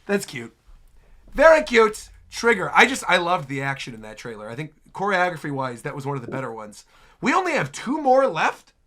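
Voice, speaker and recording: A man hosting a reaction video, giving chatty, excitable casual commentary on game trailers. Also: a little high, a little fast. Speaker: host, reaction video creator.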